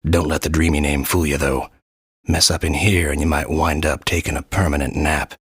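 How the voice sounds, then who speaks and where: An actor playing a gruff, world-weary guide in a cartoon video game, giving terse warnings with dry humor. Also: male voice, level tone, normal speed, dry recording, full character voice. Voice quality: deep, gravelly. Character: gruff, world-weary male mentor guide, tough and wry.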